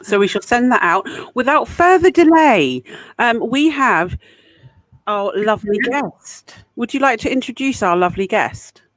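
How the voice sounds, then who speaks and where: A woman's voice: fairly high pitch, expressive, unhurried, fairly bright tone, no echo, low-quality recording. A woman hosting a podcast interview, reacting effusively and gushing over her guest. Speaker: podcast host. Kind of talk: warm interview chat.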